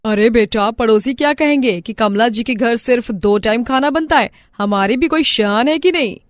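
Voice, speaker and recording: A woman's voice: fairly high pitch, moderate pace, no room sound, lightly acted. A woman playing a proud, bossy middle-aged mother-in-law in a family story cartoon. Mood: indignant, scolding. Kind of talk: Hindi family moral-story cartoon acting.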